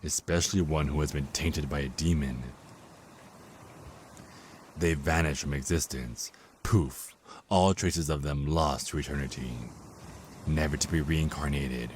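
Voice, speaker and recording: An actor playing a cruel, contemptuous Archangel of Death in an audio drama, with a mocking, theatrical delivery. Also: male voice, a bass voice, steady tone, measured pace, pauses often, darkish tone, slight background noise. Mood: mocking, gloating. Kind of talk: dramatic villain monologue in audio roleplay.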